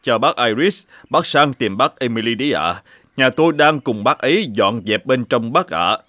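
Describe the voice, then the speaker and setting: Hot-tempered cartoon village neighbour. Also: male voice, mid-range voice, lively intonation, moderate pace, pushed and bright, no room sound, clean studio sound. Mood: polite. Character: polite but hot-tempered village neighbour man, moustached.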